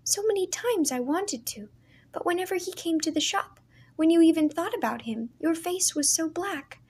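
A woman voicing a timid, gentle young girl who speaks high and breathless. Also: expressive, normal speed. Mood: shy.

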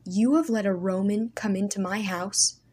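A woman voicing an angry young man who speaks harshly and loudly. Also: mid-range voice, fairly even intonation, normal speed, slightly rough, lightly acted. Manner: harsh shouting.

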